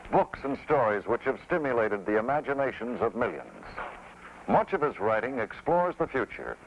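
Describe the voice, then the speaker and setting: A man's voice: mid-range voice, slight background noise. A man reading scripted documentary narration. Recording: dull vintage film soundtrack, music behind. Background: music.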